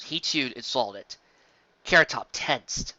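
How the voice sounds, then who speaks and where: A man's voice: mid-range voice, expressive, measured pace, pushed and bright, dry recording. A man narrating a scripted fan fiction story as an audiobook, on a dull lo-fi recording.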